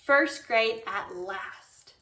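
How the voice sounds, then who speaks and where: A gentle storybook daddy, read by a woman soothes and encourages his child. The tone is bright and clear of any gruffness. It sounds roomy and a bit far from the microphone. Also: a little high, lively intonation, very slow, heavily acted. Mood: reassuring, coaxing. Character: gentle, reassuring father of a first-grader. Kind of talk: children's chapter book read aloud. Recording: roomy echo, distant microphone.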